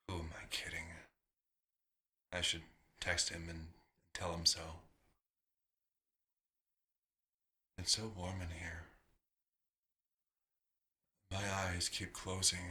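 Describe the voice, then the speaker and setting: A man playing a nostalgic, proud vampire doctor in an ASMR roleplay, musing theatrically and slipping into breathy whispers. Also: sits low, sharp tone, heavily acted. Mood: drowsy.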